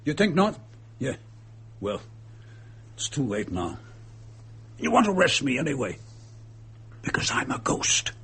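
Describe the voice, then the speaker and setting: A man acting in a radio drama as a gloating, bitter murderer and impostor, with a husky, gravelly voice and a sneering, darkly amused manner. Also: mid-range voice, very animated, unhurried, long pauses, fairly bright tone, heavily acted. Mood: mocking.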